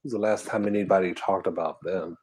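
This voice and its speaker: A man hosting a casual eating stream, talking softly and half-whispering in a relaxed, drowsy way while reading comments. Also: deep voice, a little fast, background noise. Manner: soft, quiet, half-whispered talk. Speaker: ASMR mukbang channel host.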